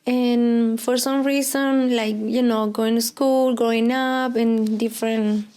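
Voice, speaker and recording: Woman, Colombian Spanish accent, slow unhurried pace, hesitant, lots of fillers. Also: fairly high pitch, fairly even intonation, dark tone, clean tone. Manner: hesitant, many fillers, laughs often. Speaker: interviewee, preschool Spanish teacher. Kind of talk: casual interview.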